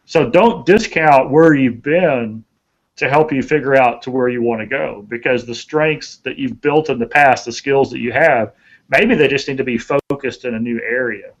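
A man's voice: mid-range voice, moderate pace, muffled recording. A man giving conversational motivational advice as a podcast co-host, in a folksy, earnest way, repeating phrases for emphasis.

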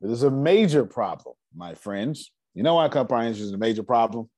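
A man in his forties giving a casual, energetic finance seminar talk, asking rhetorical questions and answering them himself. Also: fairly low pitch, no echo. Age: 40s. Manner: loose, energetic, repeats key points. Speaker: finance speaker.

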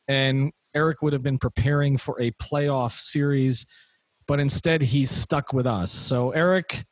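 A man's voice: mid-range voice, steady tone, a little slow, no echo. A man hosting a sports podcast, asking long, rambling questions in a casual, conversational way. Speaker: podcast host.

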